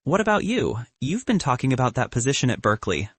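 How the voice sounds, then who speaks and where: A man's voice: mid-range voice, big pitch swings, fast talker, breathy edge. A man voicing a nerdy young guy physics student, awkward and a little defensive, dry humor, huskyish voice, no echo at all.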